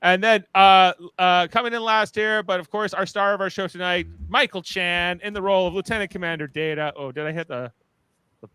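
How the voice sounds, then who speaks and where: A man's voice: high-pitched, steady tone, fairly quick, sharp tone, clean tone, no room sound. A man hosting a casual fan podcast chat, talking in a rambling, joking way.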